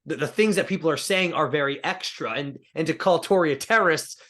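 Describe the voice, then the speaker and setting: A man co-hosting a casual TV aftershow chat, his voice rough from being sick, chatty and laughing as he thinks out loud. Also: a little high, fairly quick. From Italian-American heritage. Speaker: co-host.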